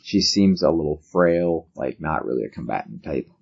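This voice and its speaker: A man working as game master, narrating a tabletop role-playing game in a halting way, thinking aloud as he goes. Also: rumbling low, lively intonation, creaky, a little mellow, breathy edge. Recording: dull, lo-fi sound.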